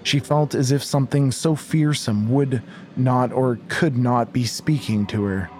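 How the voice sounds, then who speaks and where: A man narrating a scripted horror audio drama in a measured, literary storytelling manner. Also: mid-range voice, a little mellow, slight background noise.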